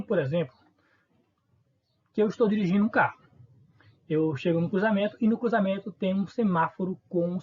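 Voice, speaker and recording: A male teacher with a Northeastern Brazilian accent gives a recorded lesson in a relaxed, informal tone. His voice is husky and the pitch moves a lot, and he asks the class questions that he then answers himself. The recording sounds dull and lo-fi. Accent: Northeastern Brazilian Portuguese.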